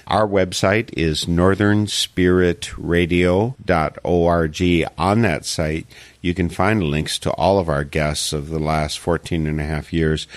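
A man hosting a radio interview program, speaking in earnest, measured appeals to listeners over a studio mic. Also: low voice, dry recording. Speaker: radio host.

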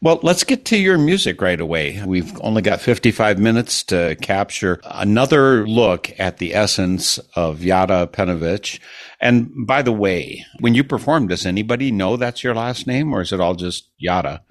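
A man with a low voice hosting a radio interview. He asks his questions in a friendly, conversational manner and introduces the music. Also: lively intonation, moderate pace. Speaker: radio host.

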